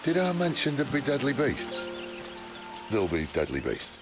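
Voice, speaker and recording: An actor playing a wry, roguish middle-aged outlaw leader in a video game, with a gravelly voice and dry, sardonic banter. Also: male voice, mid-range voice, sing-song, moderate pace, over music, lightly acted. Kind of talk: video game cutscene acting.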